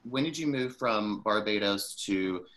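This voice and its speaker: A man's voice: on the low side, unhurried, a bit bright. A man co-hosting a podcast, blunt and joking as he asks questions. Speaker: podcast co-host. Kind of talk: casual interview chat.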